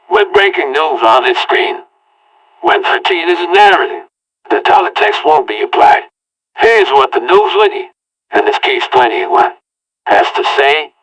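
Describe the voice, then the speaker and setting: A man's voice: medium pitch, a bit bright. A man telling a homemade audio-log story in the first person, with an earnest, rambling delivery. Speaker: narrator. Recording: voice far from the mic.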